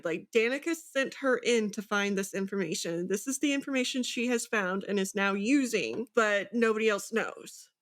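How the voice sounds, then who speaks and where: A woman co-hosting a casual book discussion, thinking aloud and often checking that the listener follows. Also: medium pitch. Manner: speculative, thinking aloud with rhetorical check-ins. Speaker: podcast co-host.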